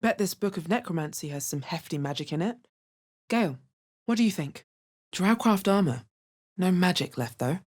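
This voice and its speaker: A woman playing a wary, hard-bitten young adventurer in a fantasy video game, with a deep, husky voice and a guarded, terse delivery. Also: lively intonation, moderate pace, a little mellow. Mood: grim, measured. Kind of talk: scripted video game character voice lines.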